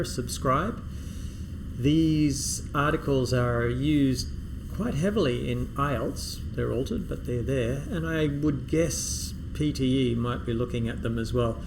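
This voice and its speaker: A man at a middle pitch explains a reading strategy like a patient tutor, then models sample sentences with big pitch rises and careful pauses. His voice sits over a clear layer of background noise. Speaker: English test teacher.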